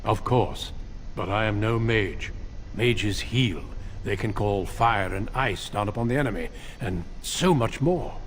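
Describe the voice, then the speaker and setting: Veteran warrior recruiter for fantasy game male actor: lowish voice, formal, resolute, earnest, faint noise. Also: measured pace, natural voice. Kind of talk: fantasy video game acting.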